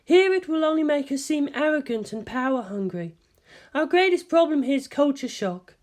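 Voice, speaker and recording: A woman voicing a measured, world-weary senior female scientist in an anime story, with a dry, didactic manner. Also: high voice, animated, a little mellow, pitched above the performer's own voice. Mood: measured appraisal. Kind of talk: anime fan fiction character voice.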